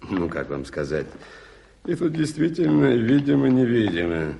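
An actor playing a well-informed, confiding guide in an articulate, theatrical 1980s Soviet radio play style. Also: male voice, medium pitch, big pitch swings, normal speed, creaky, warm tone. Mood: explaining. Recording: hissy old radio drama recording.

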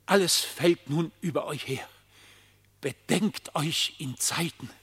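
Radio play casting: loyal badger nephew, urgent, very breathy, higher-pitched. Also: male voice, takes it slowly, fairly bright tone, full character voice. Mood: urgent warning. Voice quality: breathy, husky whisper. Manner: urgent, earnest. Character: loyal badger nephew, urgent, counselling his fox uncle. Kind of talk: classical verse radio drama.

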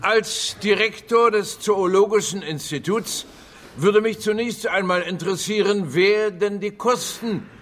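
An actor playing a dignified male institute director with a formal, deliberate manner in a radio drama. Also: sits high. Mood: measured. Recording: faint room ambience noise.

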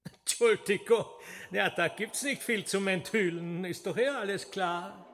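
An actor playing a sly stage trickster in a radio drama, with oily, ceremonious politeness. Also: male voice, high voice, unhurried, a bit bright. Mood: evasive. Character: sly, mock-courteous male stage trickster.

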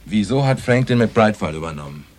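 A man's voice: fairly low pitch, lively intonation, a little fast, darkish tone, lightly acted. A man playing a blunt, skeptical veteran police detective with terse questions in a German radio crime drama. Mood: brusque.

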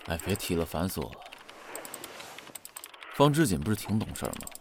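A man in his twenties playing the aloof, steady eldest member of an idol group, dry and composed. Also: deep voice, a little fast, gravelly, background noise, exaggerated voice. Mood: irritated. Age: 20s.

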